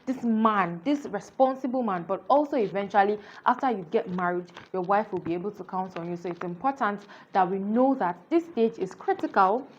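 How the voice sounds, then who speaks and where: A woman giving a casual spoken book review, earnest and explanatory, often filling pauses with "you know". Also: mid-range voice, lo-fi sound, slight background noise.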